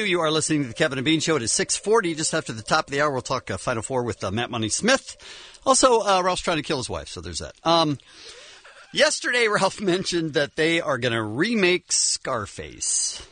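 Bright, lively male radio host, quick station-ID patter. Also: on the high side, a little hiss or hum. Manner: quick, upbeat station-ID delivery. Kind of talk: morning radio show chat.

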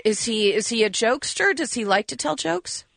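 A woman's voice: a little high, expressive, normal speed, vocal fry, fairly bright tone, no room sound. A woman co-hosting a morning radio show, chatting bubbly with callers and their kids. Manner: bubbly, laughs easily with callers. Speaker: radio co-host. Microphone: studio mic.

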